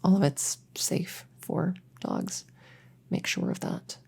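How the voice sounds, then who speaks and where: A woman playing a gentle, reassuring witch veterinarian in a soft-spoken ASMR roleplay, soothing and a little hesitant as she chats to her patient. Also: low-pitched, wildly swooping pitch, slow pace, vocal fry, slightly rough, heavily acted. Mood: gentle, explaining. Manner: soothing, hesitant, chatty bedside manner.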